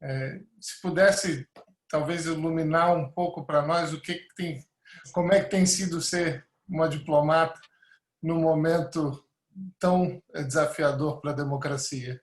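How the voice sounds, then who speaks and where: A man moderates an online panel, his voice somewhat higher than most men's. The room around him sounds echoey. He puts a question to a guest politely and a little hesitantly. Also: fairly even intonation, a little slow. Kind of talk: webinar moderation.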